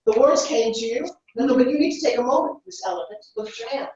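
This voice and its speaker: A woman's voice: high voice, fast talker, darkish tone. Commanding woman coach in a very echoey room. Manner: commanding, interrupting, short blunt instructions. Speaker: business coach leading a workshop. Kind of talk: live hands-on coaching. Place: indoor reverberant room. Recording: echoey room, distant dull microphone.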